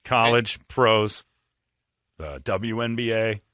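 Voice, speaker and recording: A man's voice: on the low side, slow, fairly bright tone, dry recording, clean studio sound. A man hosting a morning radio show, talking in a loose, rambling way and laughing often. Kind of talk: casual morning radio talk. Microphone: studio microphone.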